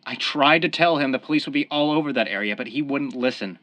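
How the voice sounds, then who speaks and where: A man's voice: mid-range voice, fast talker, sharp tone, no echo, natural voice. A man playing a desperate young family man turned mob killer in a radio crime drama, earnest and defensive. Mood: earnest, regretful. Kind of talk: old-time radio crime drama acting.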